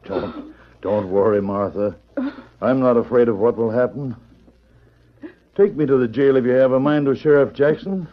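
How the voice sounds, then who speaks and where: An actor playing an honest, wrongly accused rancher in an old radio western, speaking with halting sincerity. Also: male voice, mid-range voice, close to an everyday voice. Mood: resolute, hopeful. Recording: dull old radio recording.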